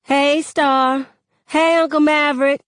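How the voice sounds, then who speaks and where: Woman voicing a high, gossipy, bold teenage girl. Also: takes it slowly, dry recording. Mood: breezy. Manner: slangy, animated storytelling.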